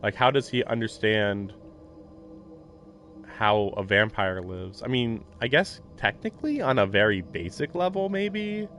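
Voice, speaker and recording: A man's voice: on the low side, expressive, unhurried, a bit bright, a little hiss or hum. A man streaming a visual novel, reading the text aloud and adding casual commentary. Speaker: game streamer. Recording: game music behind the voice.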